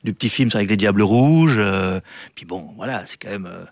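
A man guest talking about his documentary work, hesitant, with many restarts and a voice that trails off softly. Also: fairly low pitch, normal speed, no room sound, studio quality. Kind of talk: casual interview answers.

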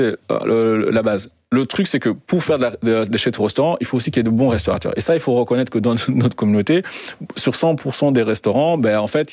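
A man's voice: medium pitch, darkish tone, no echo. Hesitant young man, dry sound. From Belgium. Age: young adult. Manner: hesitant, repeats words while searching for phrasing. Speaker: interview guest, documentary maker. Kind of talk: conversational radio interview.

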